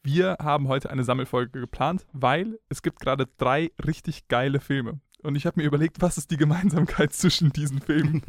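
A man's voice: a little high, moderate pace, hardly any pauses, no echo, studio quality. A young man hosting a casual film podcast, joking and laughing through loose slangy banter. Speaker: podcast host.

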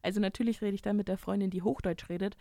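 A woman's voice: medium pitch, fairly even intonation, racing, creaky, warm tone, no echo, studio quality. A young woman from Bavaria co-hosting a podcast, talking in a rambling, self-mocking way and laughing often. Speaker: podcast co-host. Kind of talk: casual chat.

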